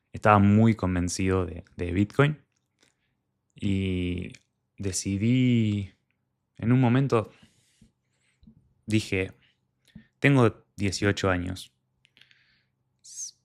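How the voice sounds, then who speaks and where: A man's voice: on the low side, vocal fry. A young man from Argentina with an Argentine Spanish accent, telling his personal story in a candid, conversational way and quoting his own past thoughts. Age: young adult.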